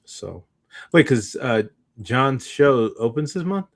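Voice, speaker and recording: A man chatting casually as a podcast co-host, halting and thinking aloud as he rambles along. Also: medium pitch, lively intonation, vocal fry. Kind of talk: casual unscripted chat.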